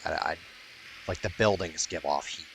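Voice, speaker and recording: A man's voice: deep voice, moderate pace, creaky, slight background noise. A man giving casual, rambling playthrough commentary as a gaming YouTuber, jokey and sweary.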